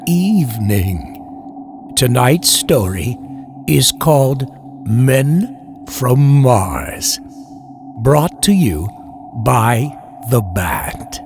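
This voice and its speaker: A man narrating a horror story in old-time radio style, theatrical and menacing, sometimes dropping to a hushed whisper. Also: mid-range voice, very animated, very slow, long pauses, over music.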